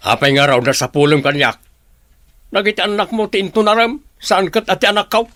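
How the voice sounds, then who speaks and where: A man's voice: sits high, animated, a little fast, a bit bright, dry recording, clean studio sound. A man playing an irritable, scornful brother-in-law in a radio drama, with a Filipino accent. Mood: irritated, scornful.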